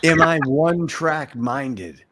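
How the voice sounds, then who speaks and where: Slow talking massachusetts guy hosting a voice chat, teasing everyone. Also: on the high side, fairly bright tone. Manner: slow, teasing, laughs often. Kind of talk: casual group voice chat.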